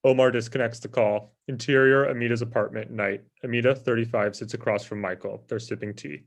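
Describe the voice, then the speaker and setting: A man's voice: medium pitch, steady tone, a little fast, creaky. A man narrating scripted stage directions for a live table read.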